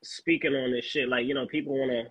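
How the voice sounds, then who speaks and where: La guy talking slow and halting, raspy voice, dead dry sound. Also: mid-range voice, fairly even intonation, a bit bright, dry recording, low-quality recording. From Los Angeles. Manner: laid-back, halting, fills with "you know what I'm saying". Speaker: guest rapper. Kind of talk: casual interview chat.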